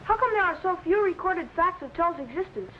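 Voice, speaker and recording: A man's voice: extremely high pitch, animated, a little fast, lo-fi sound. A young male actor playing an eager, boyish assistant in an old-time children's sci-fi serial. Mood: curious, excited. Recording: noisy vintage serial recording.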